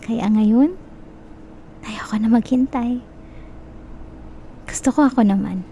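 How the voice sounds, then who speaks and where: A young woman with a Filipino accent playing a possessive, manipulative, lovesick stalker in an intimate ASMR roleplay, softly coaxing and teasing with quiet menace. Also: on the high side, takes it slowly, a little hiss or hum. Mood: pleading, possessive. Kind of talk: Tagalog-style ASMR roleplay, intimate close address.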